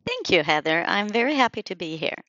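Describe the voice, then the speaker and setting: A woman's voice: mid-range voice, very animated, fairly quick, a bit bright, no echo. A woman in her forties, answering interview questions thoughtfully with many fillers over a dull lo-fi line. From Sweden. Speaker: guest, program manager.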